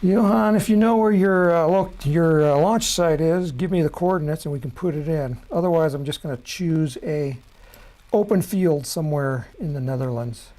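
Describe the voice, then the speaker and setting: A middle-aged man from Chicago giving a casual screen-share demo of rocketry software, rambling and correcting himself as he goes. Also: fairly high pitch, moderate pace.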